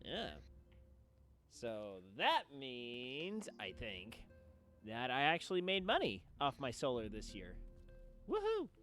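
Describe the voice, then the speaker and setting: A man chatting casually on a game livestream at home, with playful, drawn-out phrasing. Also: mid-range voice, wildly swooping pitch, a bit bright. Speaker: game streamer. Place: indoors at home. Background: game music faintly behind.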